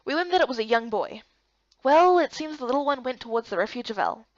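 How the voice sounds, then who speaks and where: Woman as a high-voiced, curious young heroine. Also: lively intonation, fast, no room sound, highs cut off. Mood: narrating. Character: young human heroine, curious, unsure, plain-spoken. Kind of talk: first-person visual novel narration and dialogue.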